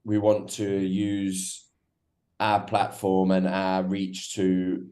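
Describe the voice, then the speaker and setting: Man, low mellow voice, halting, restarts often, guest on a call. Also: completely flat delivery. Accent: foreign-sounding accent, South African company. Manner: hesitant, many restarts and ums. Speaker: guest, company co-founder. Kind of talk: radio interview over a call.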